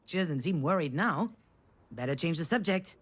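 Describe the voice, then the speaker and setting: A guarded young woman in a visual novel game. Her voice sits a little low and swings widely in pitch, with a dry, sardonic, confiding delivery. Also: expressive, normal speed. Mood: sardonic musing. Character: guarded, wry young woman, a failed screenwriter. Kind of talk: visual novel voice acting with inner monologue.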